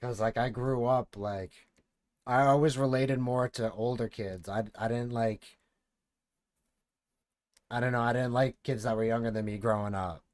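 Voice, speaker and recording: A man's voice: medium pitch, steady tone, a little slow, long pauses, darkish tone. A man in his late twenties, streaming and reacting to a video, chatting casually, thinking aloud and swearing. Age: late 20s.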